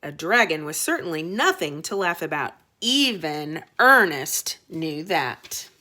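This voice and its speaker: A woman teacher reading a storybook aloud to children, expressive and dramatic, with short explanatory asides. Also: low voice, unhurried.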